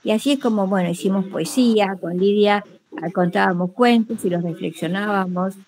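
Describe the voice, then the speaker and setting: A woman with an Argentine accent giving a casual personal account in a group call, modest and halting, with many filler words. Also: mid-range voice, a little mellow. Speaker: workshop participant, teacher.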